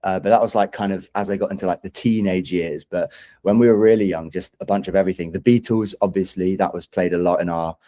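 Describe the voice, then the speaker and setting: A man's voice: low voice, fairly even intonation, fast talker, warm tone. A young man with a London English accent chatting casually as a musician in an interview, enthusiastic and rambling in an informal way.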